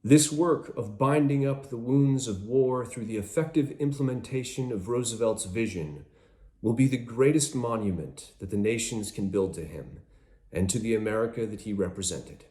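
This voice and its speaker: A man's voice: mid-range voice, moderate pace, mellow, echoey room. A man giving a solemn scripted narration as a narrator.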